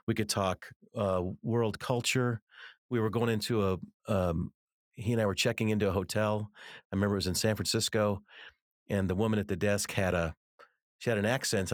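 A man's voice: on the low side, dry recording, clean studio sound. A middle-aged American man speaking as an interview guest, earnest and conversational, telling anecdotes and repeating words for emphasis. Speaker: interview guest, author and ministry teacher.

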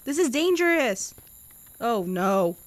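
A woman's voice: a little high, very animated, slow pace, no echo. A young woman playing an anxious, awkward seventeen-year-old girl in an audio drama, speaking in a hesitant, flustered way. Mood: uneasy, cautious.

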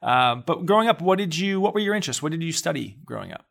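A man's voice: fairly high pitch, expressive, fast, gravelly, a bit bright. A man hosting a podcast interview, upbeat and chatty, with a few fillers. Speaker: podcast host.

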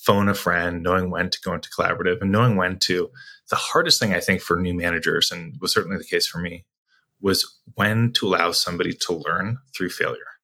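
A man's voice: low voice, fairly even intonation, fairly quick, pushed and bright, husky, low-quality recording. A man answering interview questions as a sales executive guest, talking conversationally in a reflective, explanatory way.

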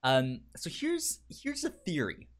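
A man co-hosting a podcast, chatting casually about a TV show, stammering and repeating sounds and words as he talks in an animated way. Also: fairly high pitch, slow pace. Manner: animated, acts out quotes. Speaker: podcast co-host.

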